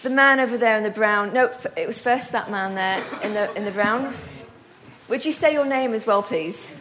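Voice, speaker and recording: A woman moderating a conference panel, firm and brisk as she keeps order among questioners. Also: fairly high pitch, noisy background.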